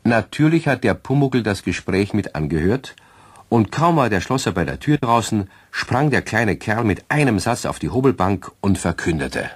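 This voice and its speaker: A man's voice: fairly low pitch, big pitch swings, normal speed, slightly rough, no echo. A man narrating a children's radio play in scripted storytelling style.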